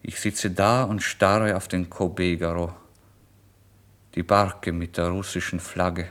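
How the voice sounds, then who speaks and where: Destitute proud man, lowish pitch, feverish, dramatic, brooding to indignant, literary radio monologue. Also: level tone, slow. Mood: hushed, exhausted brooding. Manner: dramatic, swinging from hushed brooding to indignant outbursts.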